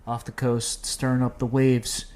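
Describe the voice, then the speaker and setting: A man's voice: mid-range voice, flat delivery, unhurried, darkish tone. A man with an ordinary mid-range voice chats on a casual podcast. He speaks in an easygoing way and keeps the conversation moving with questions. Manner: easygoing, asks questions to move the talk along. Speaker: podcast co-host. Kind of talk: casual chat.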